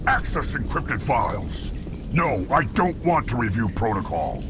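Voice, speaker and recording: A man's voice: medium pitch, sing-song, unhurried, over music. A man voicing an angry, impatient alien brute in a video game, barking threats at a machine. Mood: furious, impatient.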